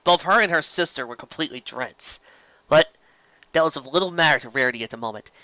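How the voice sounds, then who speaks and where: A man reading scripted fiction aloud as an expressive amateur narrator. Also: on the high side, fast, no echo, muffled recording.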